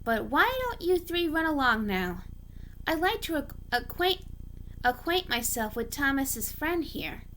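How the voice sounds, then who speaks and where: A high, bright young unicorn mare acted by a woman reading a story, sensible and quick to scold. Her diction is proper and firm, over a slightly noisy recording. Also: animated. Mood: firm, polite.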